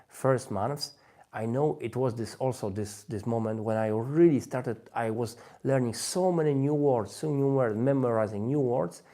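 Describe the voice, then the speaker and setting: A man from Poland, a native Polish speaker, giving an informal livestream talk with a Polish accent, halting and often rephrasing himself. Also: medium pitch, dark tone. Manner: halting, repeats and rephrases phrases. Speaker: host, language learner giving advice.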